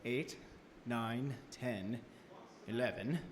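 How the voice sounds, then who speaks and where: An actor playing an anxious husband on a vampire hunt, terse and earnest, in a radio horror drama. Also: male voice, mid-range voice, drawn-out words. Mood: tense, businesslike. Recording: reverberant hall, distant, faint noise.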